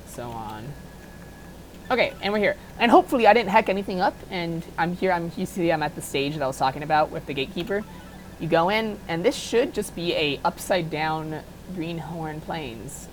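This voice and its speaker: A woman giving a casual, unedited software tutorial in a rambling, self-deprecating, apologetic way. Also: low voice, poor audio. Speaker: tutorial maker.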